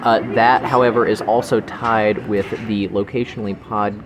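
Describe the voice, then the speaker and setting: A man's voice: fairly low pitch, steady tone, normal speed, music in the background. A man co-hosting a comedy podcast with mock-earnest, opinionated delivery. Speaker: podcast co-host. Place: local restaurant. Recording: clearly noisy restaurant background.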